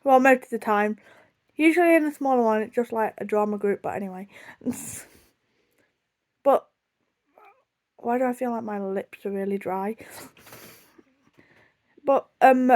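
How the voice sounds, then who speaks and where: A young British woman with a fairly high voice talks casually to her viewers. She rambles in a chatty, self-deprecating way, often sidetracking herself as she tells her story. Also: a little mellow. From United Kingdom. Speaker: video blogger.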